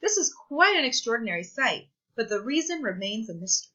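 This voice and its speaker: A woman reading a children's science picture book aloud, with clear, deliberate, teacherly phrasing. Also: fairly high pitch, sing-song, sharp tone, muffled recording. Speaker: read-aloud presenter.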